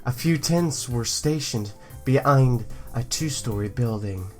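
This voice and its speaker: A woman hosting a let's play, switching between reading game text aloud and excitable, sweary commentary. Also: a bass voice, measured pace, faint music behind. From Europe, near Belgium. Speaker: gaming video host.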